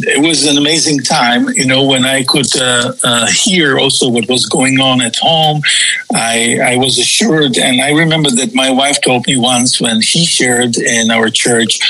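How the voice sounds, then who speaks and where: Czech-accented guy, bright forceful voice, lots of uh and restarts. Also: medium pitch, slightly rough, low-quality recording. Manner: halting, many fillers and restarts. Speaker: guest. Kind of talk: testimony interview.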